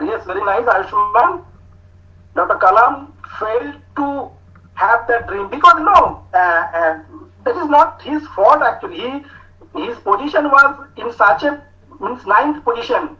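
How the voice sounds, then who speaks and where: A man with an Indian English accent giving an online talk to students, speaking slowly with many hesitations as he reads out their answers. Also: very high voice. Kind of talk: online lecture with student chat. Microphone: video call. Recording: muffled video call audio.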